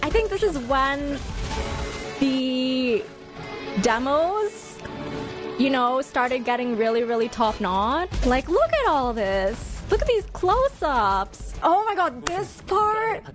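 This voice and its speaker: Brief: female streamer, high voice, gushing and slangy, casual reaction commentary with music underneath. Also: slow pace. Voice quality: high-pitched voice. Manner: expressive, gushing, slangy fan talk. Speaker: streamer hosting a ranking of game character trailers.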